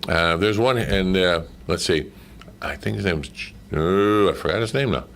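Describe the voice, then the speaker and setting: A man's voice: low-pitched, normal speed, a bit bright. A middle-aged or older man chatting casually as a radio guest, telling stories in a rambling, conversational way. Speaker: guest, former radio drama voice actor. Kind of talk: casual reminiscing in a radio interview.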